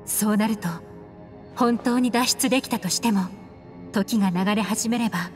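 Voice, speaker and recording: A worldly, enigmatic woman investigator from a video game, played by an actress. She speaks in a poised, measured way at a fairly high pitch, with long reverb and music behind. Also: natural voice. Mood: somber. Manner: poised, measured, feminine speech.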